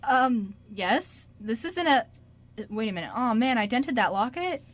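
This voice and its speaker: Radio drama: flustered, sincere young guy woman performer, halting and stammering, lightish high register. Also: very animated. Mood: excited, reassuring. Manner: stammering, hesitant. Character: earnest flustered young man, revived ghost. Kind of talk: amateur radio drama acting.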